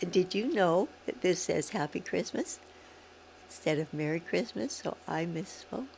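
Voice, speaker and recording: A woman reading a Christmas poem aloud in short, deliberate phrases with emphatic expression. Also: fairly low pitch, lively intonation, creaky, darkish tone, a little hiss or hum, rough recording. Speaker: volunteer story reader.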